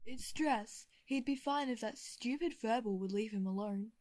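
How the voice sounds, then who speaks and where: A woman playing a brash, excitable and irritable thirteen-year-old schoolboy wizard in an audio drama, with blunt, colloquial delivery. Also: a little high, normal speed, natural voice. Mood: earnest. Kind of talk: fan audio drama acting.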